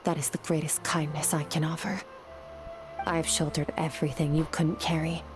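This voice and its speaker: A low, cold, stern young woman warrior, voiced by an actress in a game cutscene. She speaks in firm, clipped, unemotional lines over loud music. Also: level tone, normal speed, natural voice.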